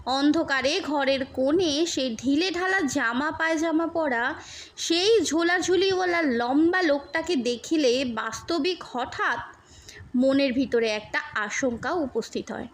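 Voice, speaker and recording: High-pitched woman narrating a story, unhurried. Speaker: audiobook narrator. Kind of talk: literary short story narration.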